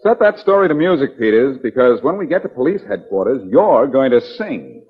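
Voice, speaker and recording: An actor playing a wry, shrewd, self-assured amateur detective in a 1940s radio drama, probing with deceptively casual questions. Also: male voice, mid-range voice, sing-song, a little fast, natural voice. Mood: accusing. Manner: probing, wry, hesitating before pointed questions. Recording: dull, noisy 1940s radio recording.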